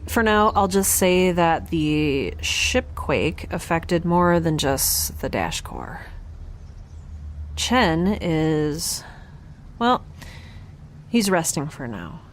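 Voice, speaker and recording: A woman playing an exhausted, injured but determined young scientist in an audio drama, speaking in a halting, wry way that trails off mid-thought. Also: low-pitched, sing-song, slight background noise, full character voice. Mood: weary, businesslike. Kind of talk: audio drama log monologue and dialogue.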